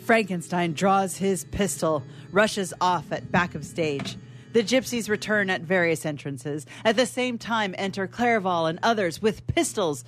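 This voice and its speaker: A woman narrating stage directions for a radio play in a deliberate, dramatic scripted style. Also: fairly low pitch, soft background music.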